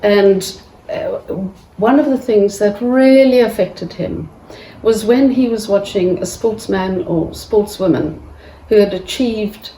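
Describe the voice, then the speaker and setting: A woman giving a halting tribute speech with frequent restarts and pauses. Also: medium pitch, warm tone, a little hiss or hum. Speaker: family member giving a tribute. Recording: echoey room, distant mic.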